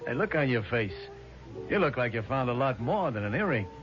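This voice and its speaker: An actor playing an affable but wary nightclub proprietor in a 1970s radio drama, talking in a chummy, colloquial way. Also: male voice, mid-range voice, sing-song, brisk, close to an everyday voice. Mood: obliging. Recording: dull vintage radio recording with music.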